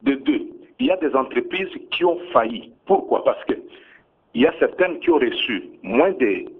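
A Congolese-accented man answers as a government minister in a radio interview. His voice is husky and moves animatedly up and down as he insists and repeats his points with emphasis. The sound is narrow and tinny, like a phone line. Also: medium pitch, sing-song, moderate pace. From Bunia, DR Congo.

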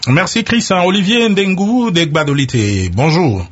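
A man's voice: a little high, unhurried. A man hosting a radio call-in and moderating questions. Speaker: radio host. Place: radio broadcast. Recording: dull noisy radio broadcast.

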